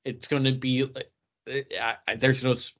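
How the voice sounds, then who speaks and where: A man's voice: medium pitch, little pitch movement, measured pace, a bit bright. An American man chatting casually as a podcast co-host. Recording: dull, lo-fi audio.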